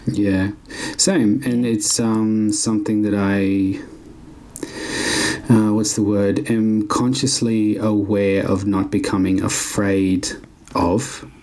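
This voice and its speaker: A man's voice: on the low side, flat delivery, slow, a little mellow. A man from Australia who teaches dance, talking in a reflective casual conversation, building long winding sentences and searching for words.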